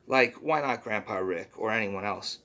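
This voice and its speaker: A man's voice: on the low side, moderate pace, sharp tone. A man voicing an impatient teenage girl from a comic, in a sarcastic tone. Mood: exasperated.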